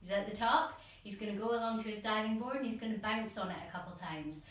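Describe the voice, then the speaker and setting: A woman's voice: medium pitch, quick pace, a bit bright, a little hiss or hum. Female workshop instructor, encouraging guided instruction, distant from the mic with heavy room echo. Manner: encouraging, step-by-step, demonstrates as she talks.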